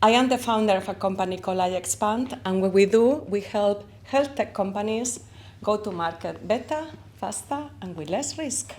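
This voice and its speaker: A woman's voice: medium pitch, moderate pace. Spanish-accented woman founder being interviewed. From Spain. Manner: animated, expressive, uses vivid comparisons. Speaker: company founder. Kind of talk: conference interview.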